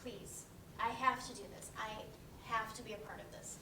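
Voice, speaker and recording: A woman's voice: a little high, steady tone, brisk, bright, forceful tone. A woman acting a grieving, desperate and determined young woman in a dramatic play reading. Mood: pleading. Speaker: actor in a stage play reading. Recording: echoey, noisy room, far from mic.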